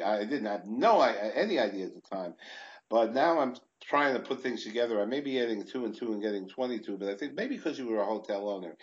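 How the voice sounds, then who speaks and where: A man's voice: mid-range voice, dull audio. A man with a New York accent, hosting a casual interview and jumping in with eager, repeated restarts. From Brooklyn, New York. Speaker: podcast host.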